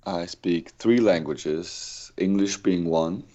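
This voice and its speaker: A man from Denmark with a Danish accent, a guest on a podcast, talking in a relaxed, thoughtful way with wry asides. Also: sits low, takes it slowly. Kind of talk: casual conversation. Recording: muffled lo-fi remote audio.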